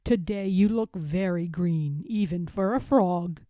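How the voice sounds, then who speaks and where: An earnest, puzzled toad from a children's storybook, acted out by a woman reading aloud. The delivery is slow, deliberate and emphatic, with a mellow, close dry sound. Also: on the low side, no room sound, voice pushed down, lightly acted. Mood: concerned.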